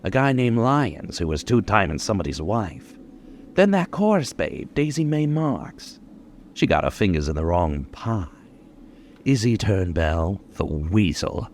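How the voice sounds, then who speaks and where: A man's voice: fairly low pitch, sing-song, a little mellow, slight background noise. A man playing a boastful, menacing young killer in an old-time radio crime drama, dwelling on his words. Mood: gloating. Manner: menacing, gloating, dwelling slowly on details. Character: boastful, unhinged young male killer holding police at gunpoint.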